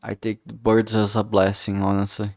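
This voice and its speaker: Low-voiced man, casual halting streamer. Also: completely flat delivery, vocal fry, a little mellow, dry recording, clean studio sound. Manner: frank, heavily swearing, halting with self-corrections. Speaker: streamer, game developer. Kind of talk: casual rambling livestream chat.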